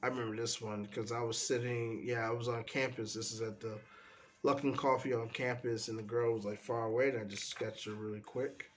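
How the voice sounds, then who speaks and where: A man, stuffy from a cold, casually talking through the pages of his sketchbook in a relaxed, meandering way. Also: mid-range voice, brisk, a little hiss or hum. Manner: relaxed, meandering, trails off often. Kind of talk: casual rambling commentary.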